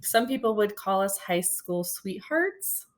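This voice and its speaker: A woman in her forties from San Diego talks in an easy, medium-pitched voice. She is friendly and a touch hesitant, filling pauses with ums and soft laughs. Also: expressive, noisy background. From born in Hawaii, raised in San Diego. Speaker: guest, business owner. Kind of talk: casual interview chat.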